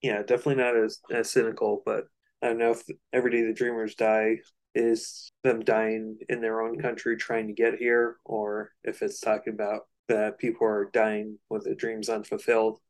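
A man co-hosting a casual music discussion, analytical and somewhat halting as he thinks aloud. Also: lowish voice, completely flat delivery, low-quality recording. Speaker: co-host of a music discussion show.

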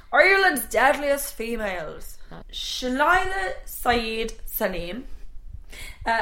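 A woman's voice: a little high, fairly bright tone. A woman in her thirties co-hosting a podcast, chatty and sweary, telling a crime story. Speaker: podcast co-host. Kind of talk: casual chat, storytelling.

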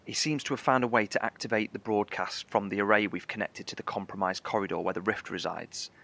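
An actor playing a measured, articulate male scientist survivor, broadcasting explanations from a bunker in a horror audio drama. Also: on the low side, fairly even intonation, gravelly, fairly bright tone. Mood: measured, concerned. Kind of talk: in-character scripted radio broadcast monologue.

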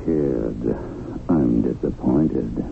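A tinny old radio drama recording of a devilish tempter. His voice is extremely deep and creaky. He is smug and slangy, taunting his rival with cocky ease. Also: drawn-out words, full character voice. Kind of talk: 1970s radio drama acting. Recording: tinny, lightly noisy old radio broadcast.

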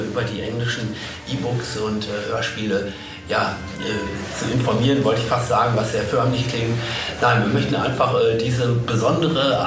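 A man hosting a live panel, introducing guests informally and often searching for words. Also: mid-range voice. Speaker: panel host. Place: convention panel stage. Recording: roomy, distant, noisy live event recording.

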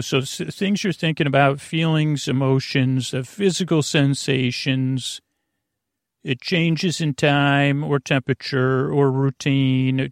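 A man hosting a bedtime podcast in a creaky voice, meandering and halting through soothing, rambling tangents. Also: on the high side, dry recording, clean studio sound. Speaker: podcast host.

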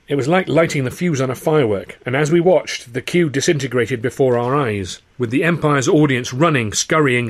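A man narrating his own comic novel as an audiobook, in a wry storytelling manner. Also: mid-range voice. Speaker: author and narrator.

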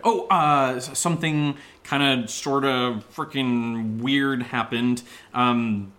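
A man's voice: medium pitch, a bit bright, natural voice. A man playing a jittery rambling young guy. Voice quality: hesitant, stammering, rambling with many fillers. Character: nervous young man rambling about a haunted attic. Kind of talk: indie horror audio drama acting.

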